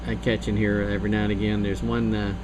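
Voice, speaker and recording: A man's voice: on the low side, monotone, fast talker. A man working a CB radio from his home station, chatting casually and rambling with plenty of fillers. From South Carolina. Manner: laid-back, rambling, with many fillers. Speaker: CB radio operator. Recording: recording with clear background noise.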